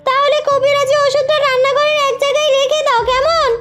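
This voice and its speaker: A woman's voice: extremely high pitch, level tone, brisk. A woman playing a sly, taunting, scheming young unmarried daughter in a cartoon fairy tale. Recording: loud music behind the voice.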